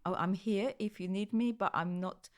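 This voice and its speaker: A woman from Finland in her forties with a Finnish accent, answering interview questions hesitantly, with many pauses and restarts. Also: mid-range voice. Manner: hesitant, many ums and restarts, light laughs. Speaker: guest, music photographer.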